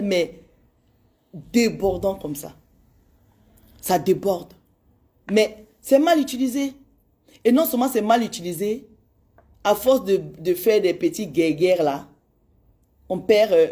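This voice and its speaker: A woman's voice: medium pitch, warm tone. A woman in her forties speaking straight to her followers, pleading with passion and repeating her points for emphasis. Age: 40s. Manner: impassioned and pleading, repeats phrases. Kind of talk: direct address to followers on social media.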